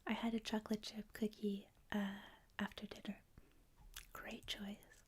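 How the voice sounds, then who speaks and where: A woman with a Canadian accent, a native French speaker, hosting a livestream in a hushed, whispery ASMR style, chatting casually with viewers. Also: mid-range voice, completely flat delivery, unhurried, a little hiss or hum. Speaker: livestream host. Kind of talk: soft-spoken ASMR, casual chat with viewers.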